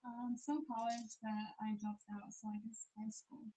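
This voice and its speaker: A woman with a bright, high voice answers interview questions in short, easygoing replies. Her manner is casual and giggly. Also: level tone, normal speed, husky, rough recording. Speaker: interview guest.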